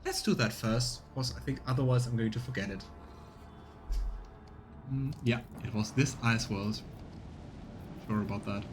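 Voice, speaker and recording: A man's voice: mid-range voice, measured pace, long pauses, background noise. A man doing casual let's play commentary, chatting as he thinks aloud and reads game text. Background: faint game music.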